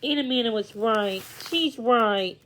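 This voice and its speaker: A woman reading a children's story aloud, a little haltingly, now and then repeating a word. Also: a little high, noisy background. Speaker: storybook reader.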